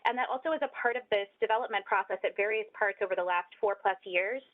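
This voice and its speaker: A woman's voice: medium pitch, fast talker. A woman presenting a webinar, talking with frequent ums and restarts. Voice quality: hesitant, many ums and restarts. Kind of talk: scripted-ish webinar talk with frequent fillers. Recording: tinny phone-like line.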